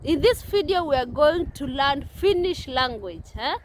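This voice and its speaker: Kenyan woman, young, high voice; earnest vlogger, restarts a lot, recorded outside. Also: animated, a little slow, a little hiss or hum. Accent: Kenyan English. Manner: repetitive, earnest, many restarts. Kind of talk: casual talk to camera. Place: outdoors at a playground.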